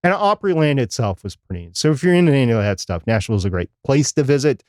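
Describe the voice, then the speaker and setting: A man's voice: mid-range voice, big pitch swings, quick pace, darkish tone, dry recording, clean studio sound. A middle-aged man, casually recapping as a podcast co-host in a rambling, plain-spoken way. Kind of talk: casual conference recap on a live chat show.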